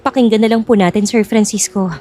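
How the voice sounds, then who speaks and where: A woman playing a young bride-to-be in an audio drama, polite and composed, with a quiet cunning. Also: medium pitch, steady tone, fairly quick, soft background music, natural voice. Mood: earnest, grave.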